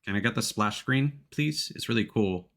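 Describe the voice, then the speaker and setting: A man's voice: fairly low pitch, normal speed, fairly bright tone. A man live-streaming games, chatting casually to viewers and thinking aloud in informal gamer slang with some swearing, on a close desk microphone. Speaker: live streamer, let's play host. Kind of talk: casual chat with viewers while setting up a game stream. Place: indoors at a computer.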